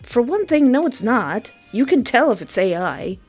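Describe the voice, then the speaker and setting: A woman's voice: on the high side, very animated, moderate pace. A woman livestreamer chatting casually and effusively with her viewers, reacting aloud as she goes. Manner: effusive, informal, reacting aloud to chat messages. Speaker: livestream host and game developer. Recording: soft music behind the voice. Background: background music.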